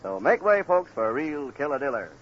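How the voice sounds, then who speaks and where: A man's voice: mid-range voice, sing-song, brisk. An American man doing 1940s big band radio show patter on a dull, noisy vintage recording. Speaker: radio announcer.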